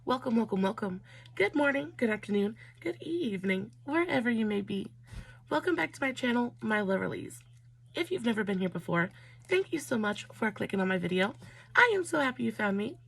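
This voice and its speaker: A woman vlogger, slightly hoarse from a cold, chatting effusively while doing her makeup and telling a story. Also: on the high side, sing-song, poor audio. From Southern United States. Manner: warm, effusive, drawn-out emphatic phrasing. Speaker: beauty vlogger.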